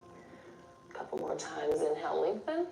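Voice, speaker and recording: A woman yoga instructor guiding a class step by step with gentle encouragement. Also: low-pitched, big pitch swings, a little slow, gravelly. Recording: roomy echo with music behind. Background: background music.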